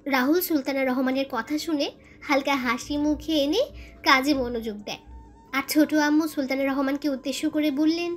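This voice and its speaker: A woman reads a scripted family love story as narrator. Her voice is high, and a music bed plays beneath it. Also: fairly even intonation, moderate pace.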